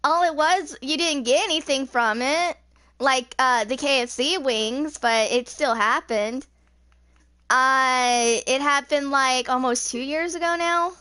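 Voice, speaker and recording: A woman's voice: sits high, takes it slowly, sharp tone, no echo. A woman in her twenties chatting casually while gaming, with a rambling, informal way of talking. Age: 20s. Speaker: video game streamer.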